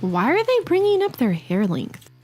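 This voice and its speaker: A woman playing a sharp, skeptical adventurer in a comedy audio drama, with a brisk manner. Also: on the high side, huge pitch swings. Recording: loud music behind.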